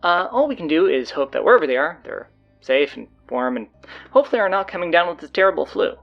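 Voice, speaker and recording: A man playing a flustered, sardonic stand-in high school announcer, rambling hesitantly and casually through audio drama announcements. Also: big pitch swings, fast talker, creaky, dull audio, soft background music. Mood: awkward, deadpan.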